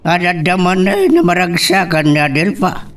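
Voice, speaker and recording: A man's voice: high voice, steady tone, normal speed, a bit bright, far from the mic. A man playing a reproachful, weighty elderly father in an Ilocano radio love drama. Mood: pleading, earnest.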